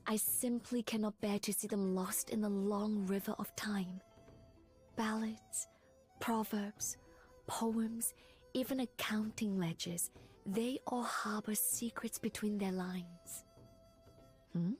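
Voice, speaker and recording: A woman voicing a gentle, refined young scholar and assistant in a game, soft-spoken and breathy, with measured, formal phrasing. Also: medium pitch, steady tone, faint music behind, lightly acted. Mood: gentle, curious. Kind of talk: scripted game character voice lines, close to ASMR.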